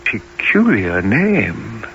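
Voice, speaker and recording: An actor playing a kindly, thoughtful gentleman in a radio drama, soothing and musing as he talks. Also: lowish voice, huge pitch swings, extremely slow, creaky, full character voice. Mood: gentle, wondering. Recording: dull lo-fi radio recording, faint noise.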